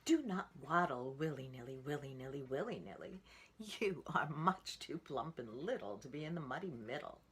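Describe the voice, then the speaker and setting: Woman as a bossy, animated brother pig. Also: extremely low pitch, normal speed, husky, heavily acted. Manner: emphatic, sing-song.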